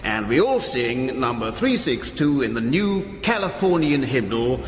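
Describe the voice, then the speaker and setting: A man's voice: medium pitch, big pitch swings, a little slow. Genial male vicar, churchy showman patter, long church reverb, radio comedy drama. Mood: genial, eccentric. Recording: echoey church hall, loud music behind.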